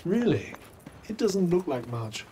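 Haughty male game companion, fairly high voice, expressive intonation, dry formal condescension, faint noise behind. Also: measured pace. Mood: dry, sardonic. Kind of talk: theatrical video game acting.

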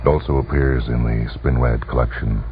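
A man narrating a story for radio in a deliberate, dramatic manner with weighty pauses. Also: extremely low pitch, steady tone. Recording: dull lo-fi old radio recording. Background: music.

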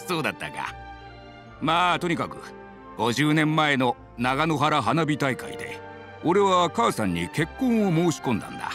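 Old married grandpa from an anime game, gentle n reminiscing, pitch moves a lot, music behind. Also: male voice, very animated, measured pace. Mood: fond, nostalgic. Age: older. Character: sentimental elderly village husband, married fifty years. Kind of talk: Japanese anime game voice acting.

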